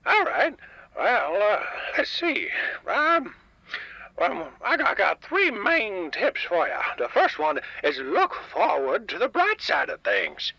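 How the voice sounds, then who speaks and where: Man doing a raspy, wildly animated old grump. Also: high voice, slow pace, no room sound, muffled recording, heavily acted. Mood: hesitant, grudging. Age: older. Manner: crotchety, rambling, folksy. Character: grumpy, stubborn old male diner owner. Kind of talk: comedic radio drama guest. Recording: dry, band-limited radio call-in line.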